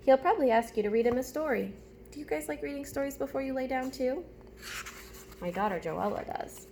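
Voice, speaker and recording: A woman with a fairly high voice and clear vocal fry speaks as a teacher to young children. She reads storybook-style and breaks off for simple questions and instructions. There is noticeable background noise on the recording. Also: darkish tone. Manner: addresses children directly with simple questions and step-by-step prompts. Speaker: teacher reading aloud to pupils. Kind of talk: storybook read-aloud with chatty asides and instructions for children.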